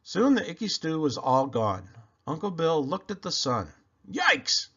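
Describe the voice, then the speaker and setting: A man reading a children's book aloud with expressive, emphatic delivery. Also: a little high, moderate pace, slightly rough, dull audio. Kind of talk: animated storybook read-aloud narration.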